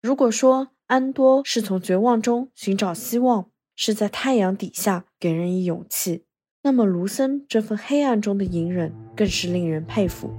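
A woman's voice: mid-range voice, moderate pace, dark tone, husky. A woman hosting a podcast, reading scripted narration. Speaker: podcast host. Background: film score music.

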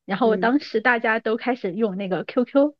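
A woman's voice: fairly high pitch, normal speed, dry recording, muffled recording. A woman in her thirties chatting casually and informally as a podcast guest. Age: 30s. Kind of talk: casual reminiscing chat.

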